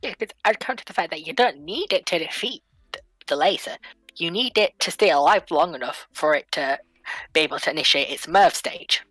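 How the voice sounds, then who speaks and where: A woman in a casual livestream debate, argumentative and quick to counter the other side's points. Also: on the low side, fairly bright tone, no room sound, poor audio. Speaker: livestream panelist.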